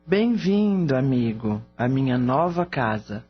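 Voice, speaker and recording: Man as welcoming horse spirit, radio drama, slow tender speech, muffled sound, clear music behind. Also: medium pitch, very animated. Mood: tender welcome. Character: gentle horse spirit speaking telepathically, welcoming. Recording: dull audio under prominent music.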